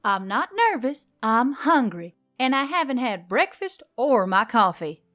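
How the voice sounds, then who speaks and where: A woman voicing a feisty, irritable young woman biker with a loud, sarcastic, snappy delivery. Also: on the high side, very animated, measured pace, faint music behind, voice pushed up, heavily acted. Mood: irritated, defensive.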